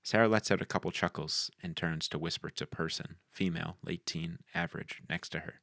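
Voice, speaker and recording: Deep, gravelly man narrating screenplay. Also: fairly even intonation, a little fast, a bit bright, no room sound, studio quality. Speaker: narrator reading stage directions. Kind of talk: scripted narration at a film table read.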